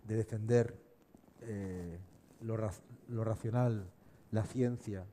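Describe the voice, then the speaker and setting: A man moderating a panel, putting questions in hesitant, measured phrases. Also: on the low side, fairly even intonation, mellow, a little hiss or hum. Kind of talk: interview questions at a panel discussion.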